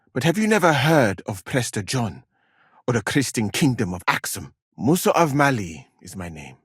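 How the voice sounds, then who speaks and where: Learned, husky-voiced scholar-physician. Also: male voice, lowish voice, no echo, clean studio sound, exaggerated voice. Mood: curious, proud. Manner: measured, formal, eloquent phrasing. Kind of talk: video game voice acting.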